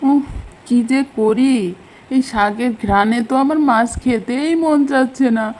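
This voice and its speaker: Cartoon husband, played by a woman: high-pitched, mellow, grumbling, sheepish villager who mutters and exclaims. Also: measured pace, natural voice. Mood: torn, muttering. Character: grumbling, food-loving village husband, sheepish son-in-law. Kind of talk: Bengali cartoon folk-tale acting.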